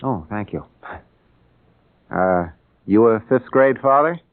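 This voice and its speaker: A thoughtful, mild-mannered American father in middle age. His voice sits low and sounds earnest. The recording is a thin, band-limited vintage radio drama. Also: expressive, slow. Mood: curious, friendly. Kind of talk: old-time radio drama acting. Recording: tinny, band-limited old radio broadcast.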